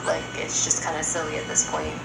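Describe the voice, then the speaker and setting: A woman with a fairly low voice talks through her opinions on a casual podcast. She sounds earnest and exasperated as she complains. The room has a clear echo, and loud music plays behind her. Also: big pitch swings, brisk. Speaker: podcast co-host. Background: loud background music.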